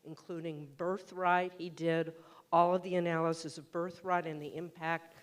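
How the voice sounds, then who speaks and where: A woman's voice: fairly low pitch, level tone, moderate pace. A woman in the audience speaking effusively at a public Q&A in a synagogue hall. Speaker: audience member asking a question. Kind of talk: public Q&A remarks.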